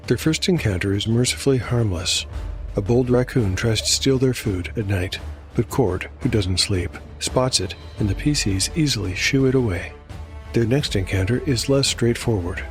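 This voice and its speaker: Grave-voiced man narrating dark fantasy. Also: fairly low pitch. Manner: measured, grave storytelling. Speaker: host and solo game master. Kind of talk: dramatic fantasy narration with dice commentary. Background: music.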